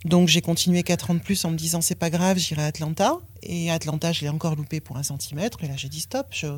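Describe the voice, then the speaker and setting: A woman's voice: low-pitched, fast, no echo. A French woman in her fifties, a former athlete, telling her own story in a frank, blunt way and swearing now and then. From France. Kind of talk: candid personal testimony.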